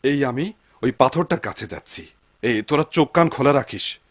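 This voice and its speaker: A man's voice: mid-range voice, animated, normal speed, no echo, studio quality. A man playing a kindly, pious, poor woodcutter in a dubbed cartoon, exclaiming and wondering aloud to himself. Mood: wary, nervous. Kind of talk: dubbed cartoon acting, talking to himself and animals. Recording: clearly noisy cartoon soundtrack.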